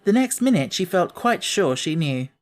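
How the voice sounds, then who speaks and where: A woman narrating a children's story as a scripted audiobook, with expressive storytelling. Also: lowish voice, normal speed.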